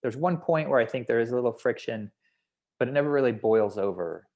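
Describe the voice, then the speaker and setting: A man's voice: mid-range voice, lively intonation, brisk, a little mellow, highs cut off. A man explaining his notes on a script in a hedging, conversational way, like a consultant at a feedback session. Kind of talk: feedback discussion at a table read.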